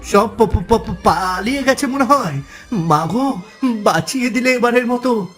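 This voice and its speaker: Scared timid man in horror story, really high voice, stutters a lot, bit of music behind. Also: expressive, measured pace, a bit bright, full character voice. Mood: shaken, relieved. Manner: stammering, timid. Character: terrified, stammering man relieved to survive a ghost attack. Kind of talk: dramatized horror audio story.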